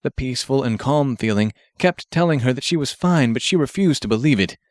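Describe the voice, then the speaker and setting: A man's voice: mid-range voice, animated, brisk, dry recording, clean studio sound. A man narrating fiction as an audiobook reader, telling the story from a script.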